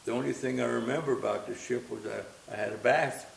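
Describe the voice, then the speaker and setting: A man in his nineties, from Austria, reminiscing gently and haltingly in an interview, often repeating words as he recalls. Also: mid-range voice, fairly even intonation, gravelly. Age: 90s. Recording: roomy echo with faint hiss.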